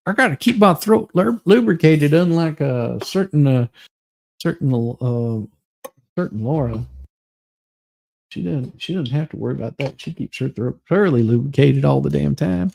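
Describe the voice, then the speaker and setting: A man with a husky, rough-edged voice hosting a live stream, chatting casually in a theatrical, mocking way. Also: mid-range voice, lively intonation, moderate pace, darkish tone. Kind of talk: dramatic reading and casual livestream chat.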